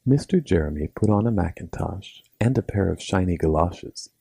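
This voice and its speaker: A man's voice: sits low, expressive, normal speed, vocal fry, mellow, breathy edge, no echo. A man narrating a scripted bedtime story for children as its storyteller.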